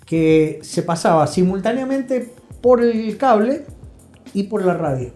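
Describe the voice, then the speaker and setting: A man's voice: high voice, expressive, takes it slowly, mellow. A middle-aged Argentine man chatting reflectively as an interview guest, explaining things and checking in with short tag questions. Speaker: interview guest, health professional. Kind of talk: casual, reflective interview talk. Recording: roomy sound with music.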